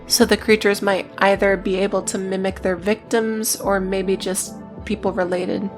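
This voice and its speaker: A woman's voice: mid-range voice, flat delivery, moderate pace. A woman narrating a scripted video essay in a matter-of-fact way, with casual, dryly irreverent asides. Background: music.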